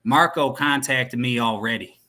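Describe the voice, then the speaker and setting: A man's voice: mid-range voice, measured pace, sharp tone. A man voicing a wary arms dealer with a put-on American cowboy accent and a folksy drawl.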